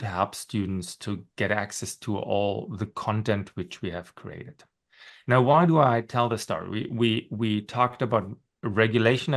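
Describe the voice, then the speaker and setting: German accent guy, middle aged, explaining stuff on a webinar panel, lots of "right?", laughs at himself. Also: lowish voice. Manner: explanatory, with frequent "right?" tags and self-deprecating laughs. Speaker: panelist and course instructor. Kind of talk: conversational webinar talk. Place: home office.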